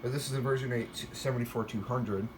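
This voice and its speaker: A man's voice: mid-range voice, steady tone, fast, noisy background. A man giving a casual show-and-tell narration in a hesitant, rambling way, often correcting himself. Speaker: video creator showing a weather radio collection.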